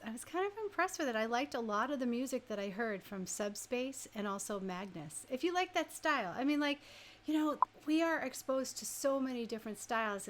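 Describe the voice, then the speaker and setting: A woman's voice: mid-range voice, slight background noise. A woman hosting a radio music show, chatting casually and conversationally with listeners, often tossing in questions and checking that they agree. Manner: conversational, rhetorical questions and frequent tag questions. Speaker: radio DJ and host.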